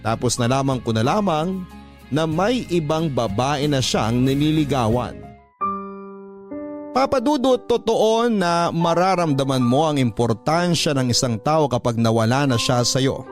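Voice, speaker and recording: A man's voice: a little high, expressive, unhurried. A man with a Filipino accent reading a love letter in a dramatic, sentimental radio storytelling style. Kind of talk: scripted narration, radio storytelling. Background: music under the voice.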